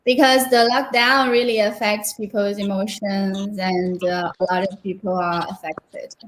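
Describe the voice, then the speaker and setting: A woman's voice: medium pitch, flat delivery, a little slow, a little hiss or hum, poor audio. A woman hosting a live interview over a video call, hesitant and full of fillers, with encouraging murmurs. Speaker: host. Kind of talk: casual interview. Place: remote live chat.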